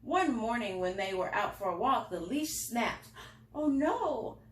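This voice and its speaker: High, animated woman reading a children's storybook. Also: unhurried. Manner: expressive, with asides to young listeners. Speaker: library storytime reader. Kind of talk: animated picture-book read-aloud for children. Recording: roomy, echoing indoor sound.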